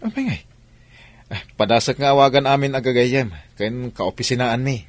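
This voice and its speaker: A man's voice: mid-range voice, normal speed, close to an everyday voice. An actor playing a helpful, reassuring male friend and colleague in a radio drama. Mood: obliging, concerned.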